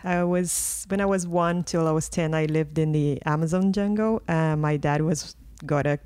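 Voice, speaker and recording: A woman's voice: deep voice, steady tone, moderate pace, darkish tone, clear, resonant tone, no room sound, studio quality. A middle-aged woman from Brazil with a Brazilian accent mixed with South London, chatting as an interview guest, laughing often and restarting her sentences. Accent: Brazilian with a South London mix. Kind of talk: casual interview chat.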